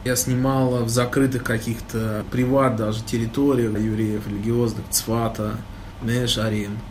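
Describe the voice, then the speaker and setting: A man being interviewed at a photo exhibition about his photography, speaking reflectively and emphatically, with sudden rises in pitch. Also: flat delivery, normal speed, slight background noise.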